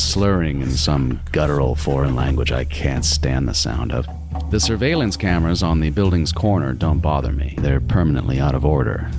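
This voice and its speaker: A man playing a meticulous, obsessive serial killer who narrates a horror audio drama in a deliberate, brooding, gloating manner. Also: rumbling low. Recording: music bed under the voice.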